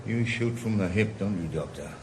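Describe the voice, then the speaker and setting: A man with a Welsh accent playing a bitter, sarcastic retired detective in a radio drama, blunt and gruff. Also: sits low, animated, normal speed, background noise. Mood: weary. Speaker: lead actor.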